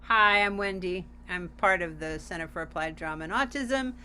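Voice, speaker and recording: Female theater staffer, bright and crisp-edged, making informal promotional remarks on a video call with slight background noise. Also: fairly low pitch, normal speed. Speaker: theater center staff member.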